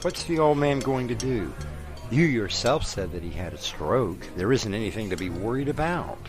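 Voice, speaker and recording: A man's voice: fairly low pitch, sing-song, normal speed, music in the background. A man playing a smug, confident lawyer in an audio drama, teasing and probing as a fiancé. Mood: teasing, reassuring. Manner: self-assured, teasing. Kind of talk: scripted audio drama acting.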